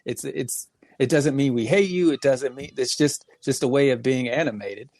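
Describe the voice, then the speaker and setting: A man's voice: mid-range voice, normal speed, darkish tone, no echo. A man who is a guest on a podcast, talking in an emphatic, explanatory way in casual discussion.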